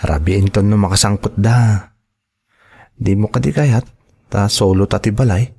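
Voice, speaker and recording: A man's voice: fairly low pitch, a little slow, mellow, husky. A man playing a coaxing young sweetheart in an Ilocano audio drama.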